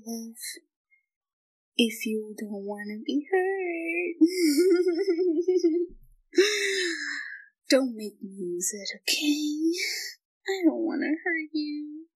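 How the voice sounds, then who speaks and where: A young woman playing a shy, obsessive yandere student kidnapper in an intimate audio roleplay, breathy and halting, clingy yet menacing. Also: high voice, expressive, darkish tone, full character voice, poor audio. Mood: soft menace.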